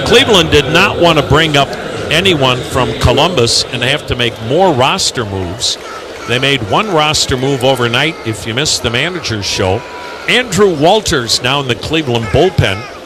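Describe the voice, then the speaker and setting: A male baseball play-by-play announcer with an American accent. He gives live radio commentary at a medium pitch. Loud ballpark ambience sits behind his voice throughout. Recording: radio broadcast over loud ballpark noise.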